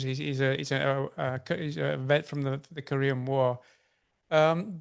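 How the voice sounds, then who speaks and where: A man's voice: fairly high pitch, creaky. A man hosting an interview, asking long questions with many stammers, fillers and restarts. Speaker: interview host. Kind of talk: conversational interview.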